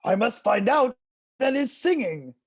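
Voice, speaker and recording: Woman acting a loud, pushy storybook coyote. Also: a little high, sing-song, unhurried, breathy edge, pitched above the performer's own voice, full character voice. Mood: eager. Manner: loud, emphatic, demanding. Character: pushy, short-tempered male coyote in a folktale.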